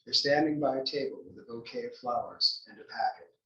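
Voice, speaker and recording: A man's voice: mid-range voice, fairly quick, a bit bright, dull audio. A man reading scripted stage directions as the narrator of a table read. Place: library room before an audience. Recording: echoey room, distant mic.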